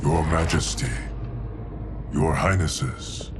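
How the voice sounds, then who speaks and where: An actor playing a grave, loyal guardian of time in a video game, formal and stoic in manner. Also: male voice, extremely low pitch, steady tone, takes it slowly, over music. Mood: respectful. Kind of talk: video game cutscene acting.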